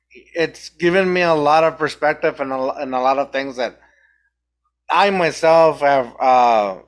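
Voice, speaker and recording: A man's voice: fairly high pitch, a bit bright. A young man from Mexico with a Mexican accent, hosting a podcast in a casual, reflective chat and often restarting his sentences. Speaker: podcast host.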